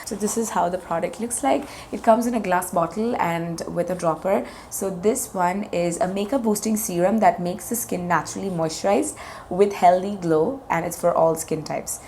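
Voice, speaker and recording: A woman in her thirties with a hoarse voice, chatting enthusiastically as she reviews makeup products on her channel. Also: fairly low pitch, normal speed, a little mellow, a little hiss or hum. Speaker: beauty vlogger.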